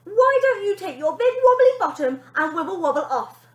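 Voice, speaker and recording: A woman voicing a bossy, rude young girl who demands and shouts orders in a storybook reading. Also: extremely high pitch, very animated, normal speed, reverberant, muffled recording, pitched above the performer's own voice. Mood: indignant.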